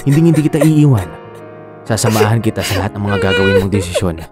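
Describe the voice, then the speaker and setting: An actor playing a steady, reassuring young boyfriend in a Filipino radio drama, earnest and soothing. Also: male voice, fairly low pitch, quick pace, over loud music, close to an everyday voice.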